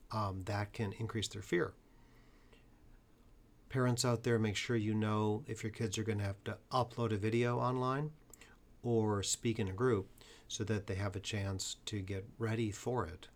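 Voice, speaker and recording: Earnest podcast host, lowish voice. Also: male voice, fairly even intonation, normal speed. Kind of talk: podcast conversation.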